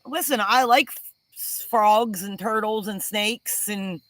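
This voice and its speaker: Bright, blunt woman telling stories on a live chat. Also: medium pitch, slow, no room sound. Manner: animated storyteller, blunt, casual swearing. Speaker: homestead channel co-host. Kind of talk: casual live-stream chat.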